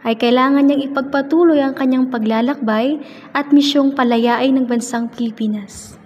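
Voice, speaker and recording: A woman's voice: high voice, steady tone, moderate pace, highs cut off, lightly acted. A woman with a Filipino accent reading scripted third-person narration for a student radio drama. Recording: reverberant hall, faint background noise.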